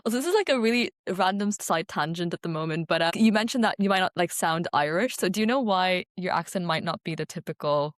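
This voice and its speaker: A woman's voice: fairly low pitch, quick pace, hardly any pauses, no echo, clean studio sound. Bubbly young woman hosting a podcast. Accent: mixed, mostly American-sounding. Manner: bubbly, encouraging, laughs often. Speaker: podcast host. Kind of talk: casual interview chat.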